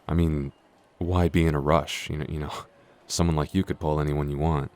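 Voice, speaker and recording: A man's voice: rumbling low, little pitch movement, normal speed, a little mellow. A man playing a shy, clumsy giant in a roleplay audio, stammering, hesitant and constantly apologetic. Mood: flustered, shy. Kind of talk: intimate roleplay audio acting.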